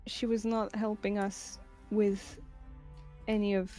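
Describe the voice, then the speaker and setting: A woman playing a tabletop game, chatting halfway in character with a vague put-on European accent, halting and giggly. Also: mid-range voice, level tone, mellow, faint music behind. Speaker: player. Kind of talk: tabletop roleplay, half in character.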